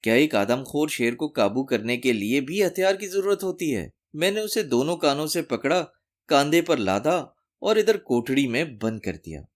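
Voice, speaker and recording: Here is a swaggering sham hero of a storybook, played by a male narrator. He brags in big declamatory phrases, and his pitch leaps about with bravado. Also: animated, moderate pace, natural voice. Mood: boastful bragging. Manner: bragging, declamatory. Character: boastful swaggering cowardly man posing as mighty warrior.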